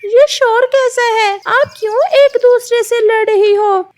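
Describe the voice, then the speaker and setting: A woman's voice: very high-pitched, slow, pitched above the performer's own voice. A woman voicing a concerned cartoon forest bird that scolds others for quarrelling. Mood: indignant.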